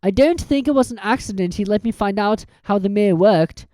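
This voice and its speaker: A thoughtful, brave young schoolboy, as a reader puts him on, a little higher than the reader's own voice. He speaks earnestly and directly. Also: brisk, warm tone, no room sound, clean studio sound, natural voice.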